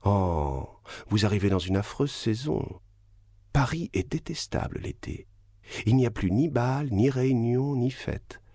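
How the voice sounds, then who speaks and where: A man voicing an indignant, haughty baroness in a read-aloud classic novel, speaking in a sharp, reproachful way. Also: mid-range voice, animated, a little slow, no room sound, clean studio sound, pitched above the performer's own voice. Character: haughty indignant baroness, middle-aged woman.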